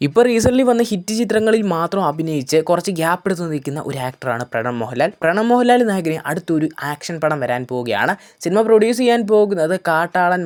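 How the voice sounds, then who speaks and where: A presenter delivers film updates in a narrated online video style. The speech moves very quickly at a mid-range pitch. English words are mixed in throughout. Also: a little mellow. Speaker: movie news video presenter.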